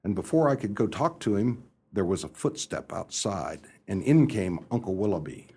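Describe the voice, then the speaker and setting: An actor playing a flustered upper-class young English gentleman in a radio play, hesitant and bumbling, narrating his own scrapes in old-fashioned slang. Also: on the low side, lively intonation, normal speed, slightly rough. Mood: wry, exasperated. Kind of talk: radio play storytelling and dialogue.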